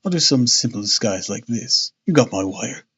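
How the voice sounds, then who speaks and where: A man acting gentleman detective, self-assured, amused, authoritative, audiobook dialogue, dull recording. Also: mid-range voice, animated, moderate pace, husky, heavily acted. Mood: commanding. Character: famous gentleman detective, confident, amused, commanding.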